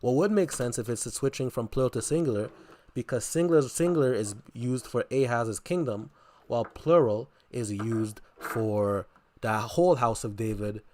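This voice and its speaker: Insistent man on a debate stream. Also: mid-range voice. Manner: insistent, repeats his question, cuts in. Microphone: headphones plugged in, computer mic.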